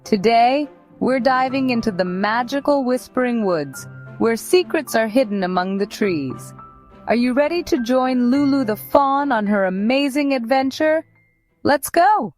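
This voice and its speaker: A woman narrates a children's story in an unhurried way. She hosts for young listeners and reads from a script, with soft music behind her voice. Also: fairly high pitch, expressive.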